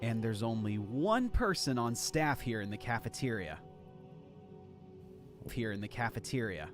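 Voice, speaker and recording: A man plays a cartoon amateur detective with a mid-pitched, lively voice. He is deliberate and dramatic, with music underneath. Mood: deducing. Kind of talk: animated cartoon voice acting.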